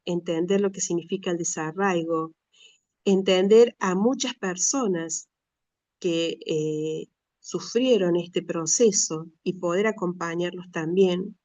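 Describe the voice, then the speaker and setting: A middle-aged woman with an Argentine Spanish accent gives an earnest, measured personal talk with frequent pauses and restarts. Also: fairly low pitch, dry recording, highs cut off. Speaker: speaker, retired school director.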